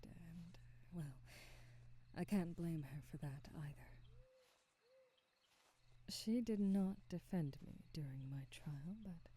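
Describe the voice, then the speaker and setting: A woman playing a kindly, wistful witch who sells potions, speaking softly and breathily, often half-whispering, with formal phrasing in an intimate ASMR roleplay. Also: lowish voice. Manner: slow, gentle, formal old-fashioned phrasing.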